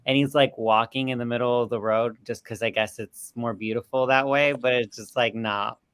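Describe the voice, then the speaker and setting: A man's voice: medium pitch, fairly even intonation, moderate pace, a bit bright, clear, resonant tone, no room sound. A man giving his impressions in a casual conversational way as a film panelist.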